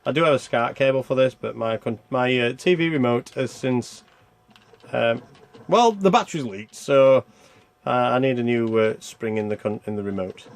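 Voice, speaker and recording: A man's voice: medium pitch, moderate pace, vocal fry. A man giving casual, rambling gameplay commentary, thinking aloud as he plays and reviews a video game.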